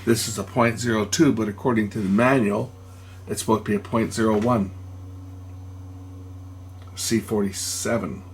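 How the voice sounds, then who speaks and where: Male repair-video host, creaky low register, plain explanatory delivery, unscripted at the workbench. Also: steady tone, normal speed, pauses often, a little hiss or hum. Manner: matter-of-fact, explanatory, thinking aloud. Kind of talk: unscripted hands-on repair commentary.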